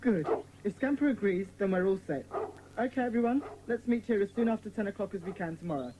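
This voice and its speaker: A self-assured schoolboy club leader, acted by a woman in a children's audio drama. He talks quickly and briskly, taking charge, with faint noise behind him. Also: medium pitch, expressive, close to an everyday voice. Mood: eager.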